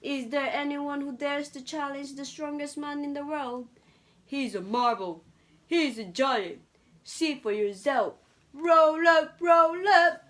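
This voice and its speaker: A woman voicing a showy male fairground barker from a storybook, calling out loudly and excitedly. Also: high voice, takes it slowly, voice pushed up. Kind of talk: storybook character voice.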